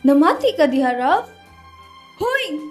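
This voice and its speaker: A woman's voice: high voice, sing-song, slow pace, faint music behind, heavily acted. A woman acting as an affectionate but nagging young girlfriend in a radio drama. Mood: annoyed.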